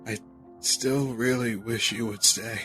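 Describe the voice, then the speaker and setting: A man's voice: medium pitch, steady tone, unhurried, pushed and bright, faint music behind. An actor playing an earnest, hesitant, devoted man in love in an intimate audio drama. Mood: earnest, loving.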